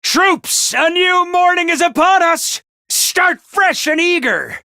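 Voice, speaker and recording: An actor playing a theatrical male academy commander who swings from booming cheer to menace, in a game. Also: slow pace, creaky, bright, forceful tone, no room sound, clean studio sound, heavily acted. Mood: rousing. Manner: booming, over-the-top cheer. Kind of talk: video game voice lines.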